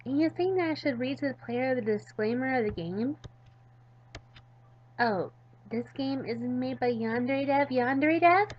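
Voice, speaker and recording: Woman voicing a goofy, high-pitched excitable schoolgirl. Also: a little slow, muffled recording, slight background noise. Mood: puzzled, rambling. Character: goofy, excitable, ditzy teenage schoolgirl visual novel character.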